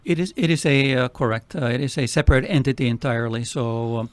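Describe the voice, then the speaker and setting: A man with a heavy Finnish accent, speaking as a nonprofit president in a radio interview, earnest and halting, with many fillers. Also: medium pitch, no echo. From Finland.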